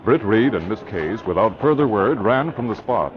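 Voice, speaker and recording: An actor serving as the formal storytelling narrator of an old radio thriller. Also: male voice, on the low side, expressive, moderate pace, a crowd in the background, lightly acted. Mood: measured, suspenseful. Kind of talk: 1940s radio scripted narration. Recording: muffled old radio recording, music behind.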